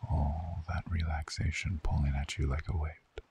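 A man playing a seductive, soothing vampire lover in an ASMR sleep roleplay, speaking in a hushed, coaxing, breathy whisper. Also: very deep voice, flat delivery, normal speed, a little hiss or hum. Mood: soothing, hypnotic.

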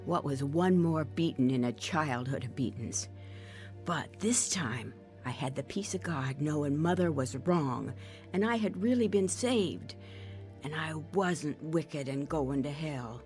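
A woman's voice: sits low, lively intonation, measured pace, darkish tone. A woman playing a grown woman reflectively and frankly telling her life story as radio drama narration. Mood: resolute. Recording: music under the voice.

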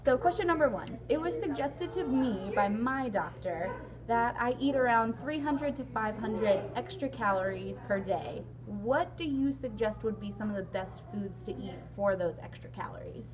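Hoarse raspy young woman hosting a vlog. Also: on the high side, lively intonation, moderate pace, a crowd in the background, low-quality recording. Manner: chatty, frequent ums, laughs along. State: hoarse, lost voice. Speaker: vlog host. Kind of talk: casual sit-down interview. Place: large indoor hall.

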